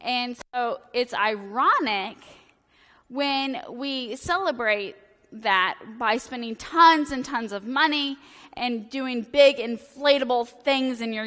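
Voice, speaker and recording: A hoarse woman in her thirties preaches in a chatty, self-deprecating way, full of filler words. Her voice is bright and sits a little high. It rings with the long reverb of a church sanctuary. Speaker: priest. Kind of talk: casual, joking sermon.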